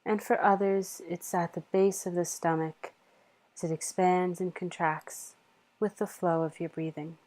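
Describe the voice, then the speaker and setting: A woman leading a guided meditation in a soothing, measured manner with long pauses. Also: lowish voice, fairly even intonation, a little mellow.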